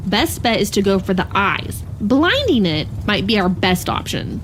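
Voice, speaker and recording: A curt, bossy getaway driver. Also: female voice, mid-range voice, sing-song, unhurried, background noise. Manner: curt, commanding. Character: sharp, bossy young woman driver.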